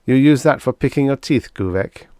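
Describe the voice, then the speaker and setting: A man's voice: medium pitch, moderate pace, a little mellow, clean tone, no echo, studio quality, voice pushed up, natural voice. A man voicing a cocky, defiant human prisoner, flippant and mock-innocent, in a pulp science fiction audiobook. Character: cocky, defiant male human prisoner taunting his alien captors.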